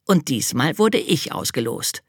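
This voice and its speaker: A narrator voicing a small, proud and excited boy angel in a children's storybook, chattering eagerly. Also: medium pitch, a little slow, husky, no room sound, studio quality, pitched above the performer's own voice. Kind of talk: storybook reading.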